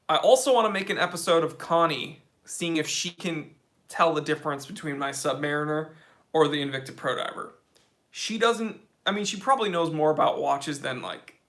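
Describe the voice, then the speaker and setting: A man hosting a casual live-stream Q&A, reading chat questions aloud and answering in a relaxed, slangy way. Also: on the high side. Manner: laid-back, slangy, reads chat aloud.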